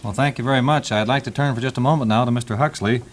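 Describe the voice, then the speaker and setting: A man's voice: medium pitch, rapid-fire. A man with an American accent, hosting a formal radio roundtable in a courteous manner. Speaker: radio host, moderator. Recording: 1950s archival radio recording.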